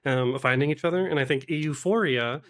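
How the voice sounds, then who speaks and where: A man chatting casually as an interview guest, halting as he thinks aloud. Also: on the high side, a bit bright. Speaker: podcast guest, actual play streamer.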